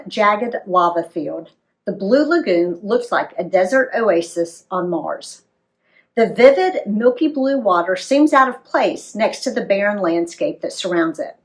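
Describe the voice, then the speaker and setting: An American woman reads a travel memoir aloud in an animated, dramatic storytelling style for young readers. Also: medium pitch. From United States.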